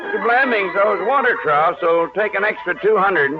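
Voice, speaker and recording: An actor playing an unhelpful, matter-of-fact contractor in a 1950s radio comedy. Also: male voice, high voice, animated, normal speed, over loud music. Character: unhelpful contractor bringing costly news. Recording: dull old radio recording.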